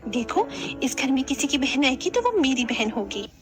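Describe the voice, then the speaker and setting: Woman as high-pitched catty young daughter-in-law. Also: sing-song, moderate pace, over music. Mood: eager. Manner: mocking, affected.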